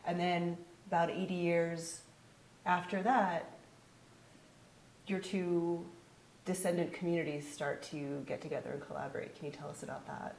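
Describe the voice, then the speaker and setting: A woman host with a very low, level voice, recorded in an echoey room with faint noise. She frames her questions in a calm, measured way. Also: creaky. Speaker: podcast host. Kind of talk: live podcast interview.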